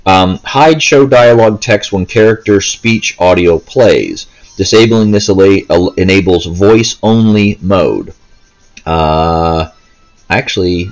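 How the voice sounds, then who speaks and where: Low-voiced man, casual and rambling. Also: rough recording. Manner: hesitant, rambling, often stops mid-thought. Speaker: gaming video host. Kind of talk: casual, unscripted gameplay walkthrough. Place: indoors, seated, handheld console in hands.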